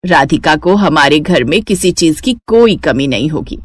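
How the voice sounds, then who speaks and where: Woman as a gentle, lower-voiced older mother-in-law. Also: lively intonation, moderate pace, slightly rough, no room sound, clean studio sound.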